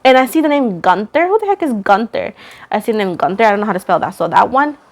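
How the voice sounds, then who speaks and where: A woman hosts a tarot reading in a casual, talkative way. Her voice sits at a medium pitch. She rambles, pokes fun at herself and repeats phrases to drive a point home. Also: sing-song, fast. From Puerto Rico. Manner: rambling, self-deprecating, repeats phrases for emphasis. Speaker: tarot reader, host.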